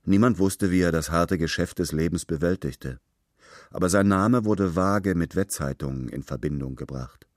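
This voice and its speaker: A man's voice: low-pitched, moderate pace, a little mellow, no echo, clean studio sound. A man narrating literary prose in a scripted radio drama style.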